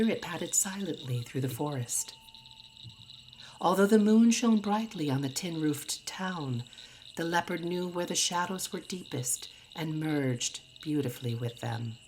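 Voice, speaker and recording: A woman narrating a literary short story in an expressive, dramatic storytelling manner. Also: sits low, a little hiss or hum. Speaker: story reader.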